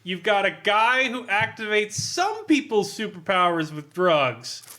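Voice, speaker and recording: A man reviewing a role-playing book casually among friends in an animated, amused, sweary and sardonic way. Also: sits high, unhurried. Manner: animated, amused, often laughing while talking; profane, sardonic.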